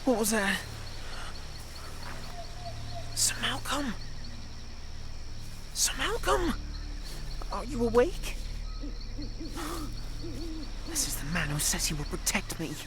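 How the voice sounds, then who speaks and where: Someone acting a haughty, spoiled young prince, animated pitch. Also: unhurried, long pauses, noisy background. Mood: hushed, wary. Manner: haughty, protesting, incredulous. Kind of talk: audio drama acting.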